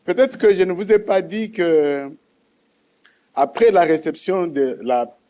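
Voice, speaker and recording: A man with a Congolese accent shares a religious testimony in a soft, mellow tone. His voice sits a little high for a man. He tells it as a story, emphatically, restating points for effect. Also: measured pace. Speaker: guest giving testimony.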